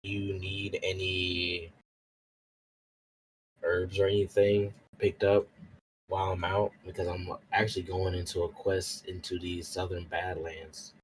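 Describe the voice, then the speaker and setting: A man's voice: deep voice, completely flat delivery, measured pace, slight background noise, poor audio. A man voicing a polite, proud, earnest Soul Reaper in a fantasy roleplay game, speaking formally. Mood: friendly.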